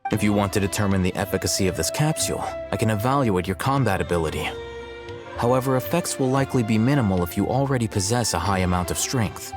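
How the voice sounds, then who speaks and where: Man playing a low-voiced aloof scholar. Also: lively intonation, music in the background, lightly acted. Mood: detached, analytical. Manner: measured, articulate, detached, matter-of-fact. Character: cool, calculating young male scholar, calm and aloof. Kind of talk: English video game voice acting.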